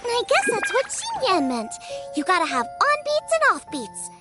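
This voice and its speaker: A woman's voice: extremely high pitch, huge pitch swings, moderate pace, heavily acted. A woman voicing a cheerful, determined young girl chef in a video game, bubbly and earnest as she thinks aloud. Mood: focused, musing. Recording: children's jingly music behind the voice.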